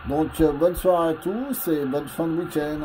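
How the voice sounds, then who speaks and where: A man giving a casual, hesitant explanation as a radio hobbyist filming a video, often pausing between phrases. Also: on the high side. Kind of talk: casual explanatory vlog talk. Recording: clear background noise and radio hiss.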